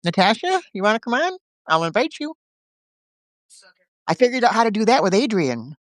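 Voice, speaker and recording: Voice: mid-range voice, big pitch swings, moderate pace, no room sound. Chatty laughing livestream host, dry sound. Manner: chatty, laughs often, rambling. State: recovering from a bad cold. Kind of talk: casual live-video chat. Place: at home in the countryside.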